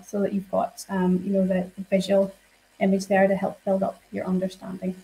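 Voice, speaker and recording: Woman walking through a software demo. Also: mid-range voice, slight background noise, low-quality recording. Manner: friendly and explanatory, many fillers. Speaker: software trainer.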